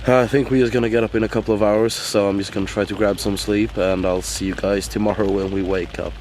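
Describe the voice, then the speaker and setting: A tired young man with a hoarse, worn-out voice, chatting casually to the camera in a rambling, halting way. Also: on the low side, little pitch movement, noisy background. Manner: rambling, halting with many fillers. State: hoarse, lost voice. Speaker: vlogger. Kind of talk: casual vlog chat. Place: in a car.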